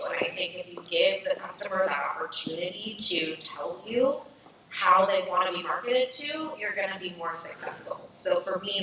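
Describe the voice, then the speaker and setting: A woman's voice: lowish voice, sharp tone. Fluent woman marketer on a roomy stage. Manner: fluent, leans on "you know". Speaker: marketing panelist. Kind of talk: panel discussion. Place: stage at a summit. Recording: echoey hall, distant mic, noisy.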